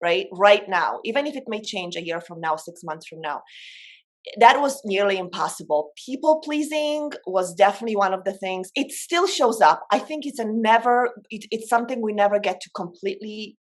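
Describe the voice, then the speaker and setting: Woman, medium pitch, emphatic manner, informal coaching talk. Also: animated, normal speed. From Poland. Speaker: coach and speaker. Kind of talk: informal motivational talk on spiritual self-development.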